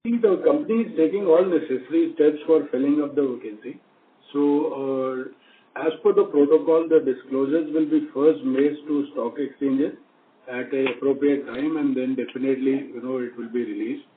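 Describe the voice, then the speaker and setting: A man with an Indian accent, a company executive answering investor questions in a measured, diplomatic, formal way. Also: medium pitch, fairly even intonation, a little hiss or hum. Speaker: company executive leading the team. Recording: echoey speakerphone-like narrow phone line.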